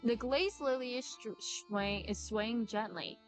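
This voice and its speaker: Higher-voiced woman streaming a game. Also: measured pace. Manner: stumbles when reading aloud. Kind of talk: casual live stream chat, reading dialogue aloud. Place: live stream over game audio. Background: game music.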